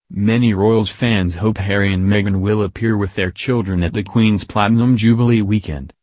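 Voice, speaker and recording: A man narrating a scripted news piece in an even reading manner. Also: on the low side, dry recording. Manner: even, reading quotes in the same voice.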